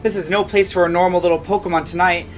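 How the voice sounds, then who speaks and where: A woman's voice: on the low side, steady tone, fast, faint music behind, natural voice. A woman voicing a young female monster trainer in a storybook reading, exclaiming and swearing, frightened and sarcastic. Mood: gentle.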